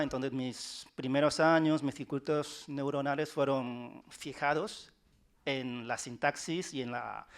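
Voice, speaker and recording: Chinese-born man, a non-native speaker. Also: medium pitch. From China. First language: Wenzhounese. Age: 40s. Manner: warm, chatty, laughs often, many restarts. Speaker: writer on a panel. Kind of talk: panel talk.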